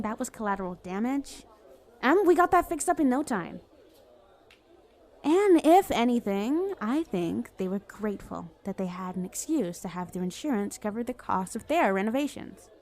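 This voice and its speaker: A woman's voice: fairly high pitch, a little mellow. A woman playing a flirty, self-justifying villain in an ASMR roleplay, talking in a casual, playful, sardonic way. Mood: defensive. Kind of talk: acted ASMR roleplay monologue.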